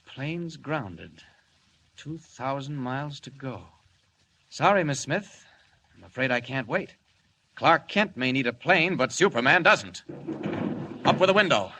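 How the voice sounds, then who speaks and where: An actor playing a polite, unflappable, self-assured young cub reporter in a 1940s radio drama. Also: male voice, medium pitch, animated, a little slow, pauses often, lightly acted. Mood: bold, determined. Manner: courteous, composed, self-assured. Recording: faintly noisy old 1940s radio recording.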